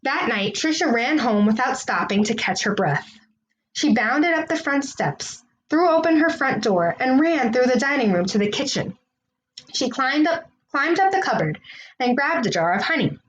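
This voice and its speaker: A woman reading a children's storybook aloud, with expressive, deliberate phrasing. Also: high voice, slight background noise, rough recording. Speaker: storybook reader.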